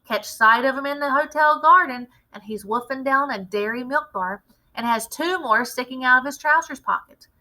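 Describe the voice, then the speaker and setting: Woman, high bright voice, expressive storybook narration, dramatic phrasing. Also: normal speed, poor audio. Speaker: read-aloud teacher.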